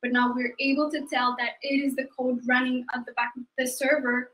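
A woman's voice: sits high, little pitch movement, fairly quick, fairly bright tone, a little hiss or hum, poor audio. A woman from Ethiopia, a junior developer, presenting a backend project on a video call in a fluent, organized way. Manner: fluent, organized, a few ums. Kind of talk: online presentation talk.

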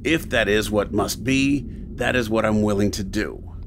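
A man's voice: on the low side, moderate pace, background noise, lightly acted. A man playing an earnest, resolute believer in an audio horror drama, solemn in manner. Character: resolute, self-sacrificing male believer.

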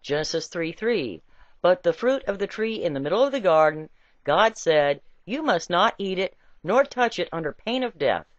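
A woman reading a scripted essay with quotations in a deliberate, emphatic and wry storytelling way. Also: lowish voice, animated, no room sound, muffled recording. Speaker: reader.

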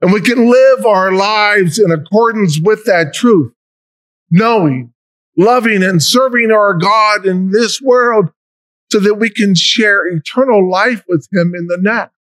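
An older American deacon delivers a homily at a lectern in a large church, his voice sitting high for a man. He speaks slowly and deliberately, leaning into key words. The sound is close and dry. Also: fairly bright tone, no echo. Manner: slow, measured preaching with emphatic rises. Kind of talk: sermon.

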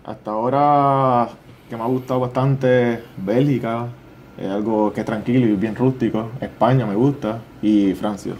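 A young man with a mixed Puerto Rican and Dominican accent, answering interview questions in a shy, modest, soft-spoken way. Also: mid-range voice, steady tone, moderate pace, slight background noise. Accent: Puerto Rican and Dominican mix. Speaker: interview guest. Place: small studio with brick-look wall. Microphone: desk boom mic.

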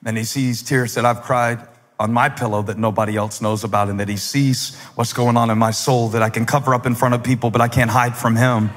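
A man in his forties preaching a sermon on a church stage into a handheld mic, telling a story and then building to loud, fervent emphasis. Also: mid-range voice, flat delivery, fairly quick. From United States. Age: 40s. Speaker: pastor. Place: large stage in a church hall. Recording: long hall reverb.

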